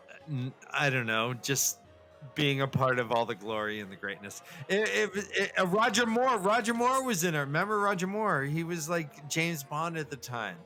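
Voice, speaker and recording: Male podcast co-host, bright forceful voice, jokey and halting, music underneath. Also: mid-range voice. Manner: jokey, rambling, halting delivery. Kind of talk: casual comedic banter and book chat.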